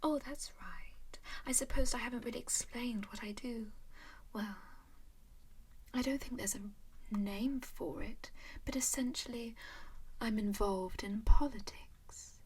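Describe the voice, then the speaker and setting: A woman voicing an ancient, genteel female vampire in an ASMR roleplay, whispering softly and dotingly in an unhurried way. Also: fairly high pitch. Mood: matter-of-fact. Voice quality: whispered, breathy.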